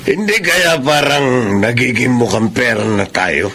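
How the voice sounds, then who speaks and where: A man's voice: medium pitch, expressive, a little slow, bright, forceful tone. An actor playing a conscientious, questioning father of a grown daughter in a Filipino radio drama. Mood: doubtful, uneasy. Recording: noisy radio drama recording.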